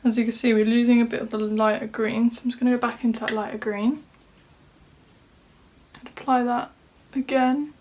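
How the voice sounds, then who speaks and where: A woman's voice: a little high, steady tone, a little fast, long pauses, darkish tone, low-quality recording. A woman vlogger walking through a makeup tutorial in a casual chat, sounding slightly nasal from a cold. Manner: chatty, talks through each step. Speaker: beauty vlogger. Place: home room at a desk.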